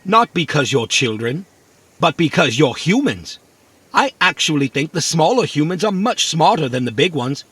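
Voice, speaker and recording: A man's voice: fairly high pitch, big pitch swings, normal speed, a bit bright, slightly rough, no echo, heavily acted. A man playing a naive, opinionated, literal-minded little robot in a children's audio drama. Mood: earnest, opinionated. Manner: literal-minded, matter-of-fact, cheeky.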